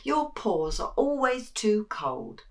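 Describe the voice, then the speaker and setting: A weary older mouse, curt and matter-of-fact, as a woman reads him. His replies are slow but rise and fall with expression. The voice sounds a little far from the mic. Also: mid-range voice, big pitch swings, creaky, darkish tone, pitched above the performer's own voice, full character voice. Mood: weary firm. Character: grumpy older male mouse, weary and firm. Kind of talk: storybook read-aloud. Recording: voice from a distance.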